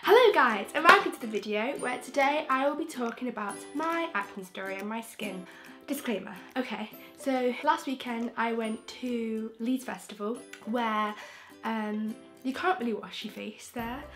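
A young woman from England, croaky from a throat infection, chatting frankly and rambling with restarts as a beauty vlogger in her bedroom. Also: on the high side, moderate pace, pushed and bright, faint music behind. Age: young adult. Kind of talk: casual chatty vlog. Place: bedroom, indoors. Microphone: camera in front, close framing.

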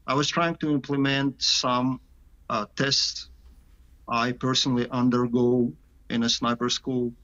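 A man from Canada answering interview questions over a video call, halting, with many pauses and filler sounds. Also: mid-range voice, flat delivery, pushed and bright. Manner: halting, many "uh" pauses, slight non-native grammar. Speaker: sniper interviewed as guest. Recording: dull lo-fi call audio.

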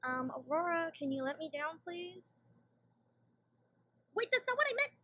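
Woman as a very high-voiced, gentle young pony. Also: big pitch swings, moderate pace, full character voice. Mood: flustered.

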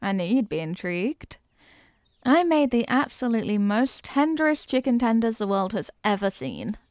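A woman playing a young, eager and kindly apprentice witch in an ASMR roleplay, chatty, teasing and expressive as she talks straight to the listener. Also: a little high, moderate pace, no echo, studio quality. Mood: proud, chatty. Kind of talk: ASMR character roleplay, scripted.

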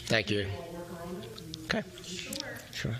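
An older man from a residents' committee steps up to a stand microphone in a community meeting room and speaks haltingly, with plenty of uhs. A steady noisy room sound sits under his ordinary voice. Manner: halting, with many uh fillers. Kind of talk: informal remarks at a board meeting.

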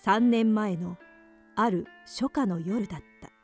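A woman's voice: medium pitch, slow pace, faint music behind. A woman reading scripted narration for a radio drama horror story.

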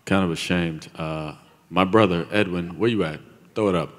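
A man's voice: sits low, moderate pace. A man who is a guest on a theater panel, joking and teasing the others on a handheld mic. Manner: joking, teasing, slips into rapping. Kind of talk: playful panel banter. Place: large theater stage. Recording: loud crowd and noisy theater.

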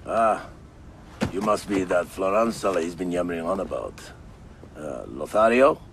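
Deep gruff Ottoman navigator. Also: expressive, moderate pace, background noise. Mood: dry, brusque. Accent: Turkish. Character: gruff, proud Ottoman navigator and bomb merchant. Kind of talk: cinematic video game acting.

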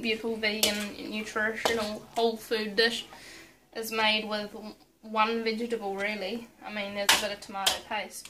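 A young woman in her twenties hosting a casual home cooking vlog, chatty and playful with self-mocking asides, filmed up close in a small kitchen at night. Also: mid-range voice. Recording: noisy home kitchen recording. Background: loud clatter of pots, cutlery and dishes.

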